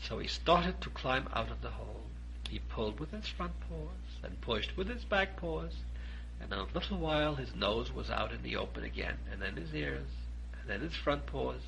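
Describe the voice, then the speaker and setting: Animated man reading a children's story. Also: mid-range voice, normal speed, creaky, slight background noise, rough recording. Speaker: audiobook reader. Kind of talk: expressive children's story narration.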